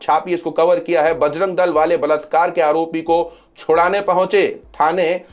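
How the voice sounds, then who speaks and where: Male news commentator, pitched slightly high, explanatory update style with emphatic repetition. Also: little pitch movement, normal speed. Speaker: social media news commentator. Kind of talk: news commentary sharing updates to camera or over screen.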